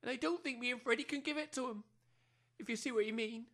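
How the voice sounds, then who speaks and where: A woman's voice: a little high, quick pace, a bit bright. A woman playing an anxious, pleading working-class village mother in an amateur comedy audio drama, flustered and apologetic. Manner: flustered, apologetic, non-standard grammar.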